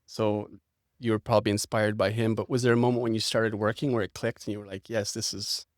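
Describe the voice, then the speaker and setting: A man's voice: fairly low pitch, little pitch movement, a little fast, no room sound, clean studio sound. A man hosting a podcast, upbeat and conversational as he asks his guest questions. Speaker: podcast host. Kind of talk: podcast interview.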